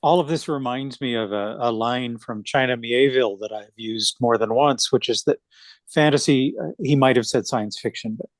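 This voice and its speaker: A man's voice: mid-range voice, moderate pace, no echo. A man moderating an authors' roundtable, reflective, linking long thoughts before putting a question to the panel. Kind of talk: academic roundtable discussion.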